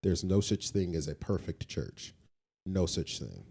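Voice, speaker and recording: A man's voice: sits low, very animated, unhurried, gravelly, darkish tone, husky. A man co-hosting a podcast in casual, slangy talk, repeating phrases for emphasis. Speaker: podcast co-host. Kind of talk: casual conversational discussion.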